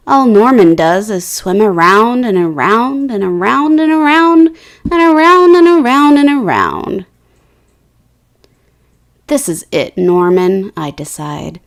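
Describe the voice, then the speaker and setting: A woman reading a children's picture book aloud, slow and expressive, giving the characters' quotes their own lift. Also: mid-range voice.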